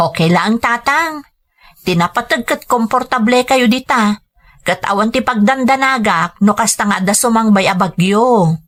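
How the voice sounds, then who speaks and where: A dutiful grown daughter working far from home in a radio drama. She has a slightly high voice and an Ilocano accent, and she sounds reassuring. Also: expressive, moderate pace, a bit bright.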